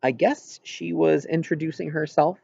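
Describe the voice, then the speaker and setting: A man reading a story aloud as an audiobook narrator, with an expressive scripted delivery. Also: a little high, moderate pace, gravelly, lo-fi sound.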